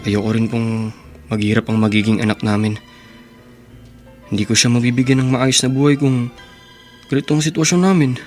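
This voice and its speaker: Earnest young man, played by an actor, mid-pitched. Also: fairly even intonation, a little fast, long pauses, darkish tone, lightly acted. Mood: respectful. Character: earnest, devoted young man, reassuring boyfriend. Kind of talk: radio drama acting. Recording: music bed behind voice.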